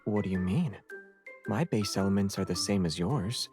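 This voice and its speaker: Very low-voiced, gentle young starborn man. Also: lively intonation, moderate pace. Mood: dry, teasing. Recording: music behind the voice. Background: music.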